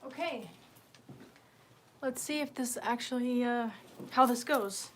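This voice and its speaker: Female livestreamer, fairly high voice, informal rambling chat, self-deprecating, swears freely, lightly noisy room at home. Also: slow. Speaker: livestream host. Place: indoors at home, window open. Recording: noisy room with open window.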